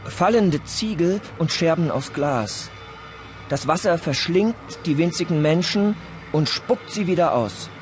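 A man narrating a German radio play as a dramatic storyteller with deliberate delivery. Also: on the high side, expressive. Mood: grave, dramatic. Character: dramatic storyteller of a dreamlike Hollywood disaster.

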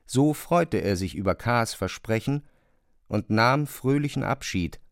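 Expressive male narrator, dry studio sound. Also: mid-range voice, unhurried, creaky, no room sound. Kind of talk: scripted literary narration for a radio play.

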